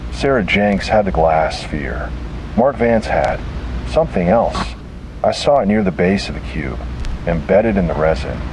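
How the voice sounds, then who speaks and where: A man's voice: deep voice, noisy background. A man narrating a scripted first-person detective horror story.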